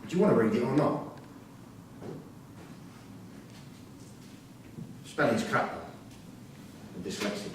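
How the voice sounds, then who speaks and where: A man's voice: medium pitch, big pitch swings, fairly quick, lightly acted. A man playing a defensive, earnest prisoner with colloquial, insistent speech in a staged play reading. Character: male prisoner, defensive, earnest, frustrated, rough-spoken. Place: theatre room at a staged reading. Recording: echoey hall, distant mic, hissy ambience.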